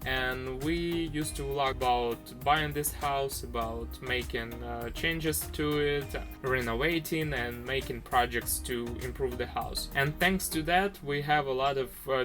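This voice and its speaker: A young man with a Ukrainian accent tells his own story in earnest, simple English, with small slips in grammar. His voice sits at an ordinary middle pitch for a man. Faint music plays beneath the voice-over. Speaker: vlogger, diorama maker.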